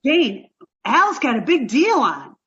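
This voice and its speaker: A woman's voice: high-pitched, very animated, slow pace, fairly bright tone, dull audio, heavily acted. An actress playing a sweet, naive, scatterbrained young girlfriend in 1940s radio sitcom style, doting and earnest. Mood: adoring.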